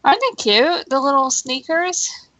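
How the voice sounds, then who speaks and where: Female co-host, casual conversational delivery, higher register, very dry sound. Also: expressive, a little slow, pushed and bright, dry recording, lo-fi sound. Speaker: live show co-host. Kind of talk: casual chat.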